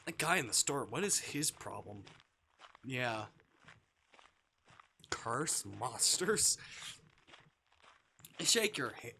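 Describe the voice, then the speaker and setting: An expressive male streamer playing through a visual novel, with very lively pitch and a sharp, bright voice. He reads the text aloud and reacts casually, with plenty of swearing and exclaiming. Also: slow, long pauses, slight background noise. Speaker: live streamer.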